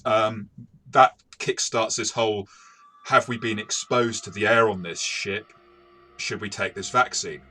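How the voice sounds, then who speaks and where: A man's voice: low voice, sharp tone. A man talking conversationally as a game master reviewing a game, circling his phrases and repeating words as he goes.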